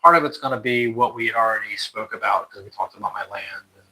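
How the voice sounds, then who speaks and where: A man's voice: on the low side, quick pace, bright, forceful tone, rough recording. A young American man talking casually about moving abroad, rambling with lots of fillers and restarts. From United States. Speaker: interviewee. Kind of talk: casual conversation.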